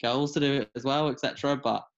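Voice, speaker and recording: A man's voice: mid-range voice, fairly even intonation, fast talker. A man in his twenties, raised in England and France, talking earnestly as a podcast guest, with many restarts. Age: 20s. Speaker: guest, mental health advocate. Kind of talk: casual interview over video call. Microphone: video call. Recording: slightly dull lo-fi audio.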